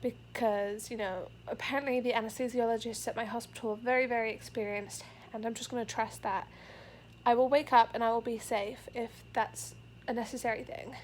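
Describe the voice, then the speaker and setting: A woman's voice: on the high side, steady tone, a little fast, slight background noise. A young pregnant woman, a little out of breath, giving an earnest, rambling update to her camera with many fillers. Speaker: vlogger. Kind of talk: casual pregnancy update vlog. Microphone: camera facing the speaker.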